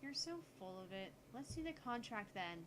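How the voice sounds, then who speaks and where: A woman voicing a sharp-tongued, easily indignant young female genius scientist in a visual novel, curt and sarcastic. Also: medium pitch, expressive, normal speed, lightly acted. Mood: wary. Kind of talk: visual novel character reading. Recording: noticeable background noise on home mic.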